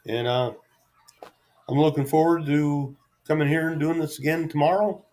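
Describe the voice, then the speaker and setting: Halting, easygoing middle-aged man vlogging. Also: on the high side, steady tone, darkish tone. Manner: halting, easygoing, self-deprecating. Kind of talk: unscripted solo ramble to camera.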